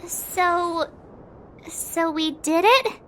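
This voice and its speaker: A woman's voice: extremely high pitch, drawn-out words, slight background noise, heavily acted. A woman playing an earnest, resolute young woman in a video game cutscene. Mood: hesitant.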